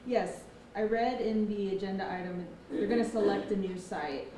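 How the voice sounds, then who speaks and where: A woman's voice: mid-range voice, fairly even intonation, moderate pace, warm tone. A woman news reporter asking casual interview questions. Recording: echoey room, background noise.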